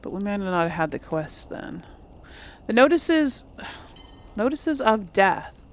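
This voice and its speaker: A woman's voice: medium pitch, big pitch swings, takes it slowly. A woman giving casual let's play commentary on a video game, chatting and thinking aloud as she goes. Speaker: video game streamer, host. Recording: faint background game noise.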